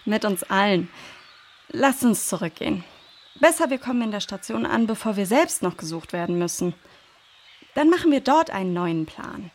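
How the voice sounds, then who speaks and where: A woman's voice: mid-range voice, animated, normal speed, lightly acted. A woman playing a protective, knowledgeable female forest guide in a children's radio play, explaining things in a reassuring way.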